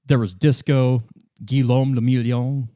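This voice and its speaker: A man playing a worldly, composed female company representative in a tabletop roleplay, explaining things in a halting, world-weary way. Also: medium pitch, level tone, mellow, dry recording, clean studio sound, natural voice. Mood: wistful. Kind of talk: in-character tabletop roleplay exposition.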